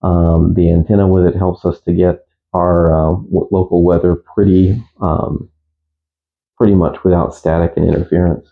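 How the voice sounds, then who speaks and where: Male hobbyist presenter, low-pitched, casual and unscripted, halting delivery, slightly dull lo-fi recording. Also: level tone, gravelly. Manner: halting, unscripted, matter-of-fact. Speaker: amateur radio hobbyist presenter. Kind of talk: casual gear review.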